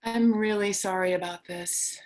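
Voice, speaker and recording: A woman's voice: medium pitch, fairly even intonation, unhurried, a little mellow, close to an everyday voice. A woman playing a lone, anxious apocalypse survivor in a theatrical stage monologue, wry and conversational. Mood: curious, tender.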